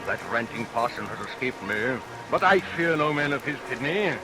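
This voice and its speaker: An actor playing a scheming, murderous villain barber in a vintage radio melodrama, declaiming theatrically and relishing each threat. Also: male voice, mid-range voice, expressive, moderate pace, over music, natural voice, rough recording. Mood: gloating, scheming. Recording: vintage radio recording under rain noise.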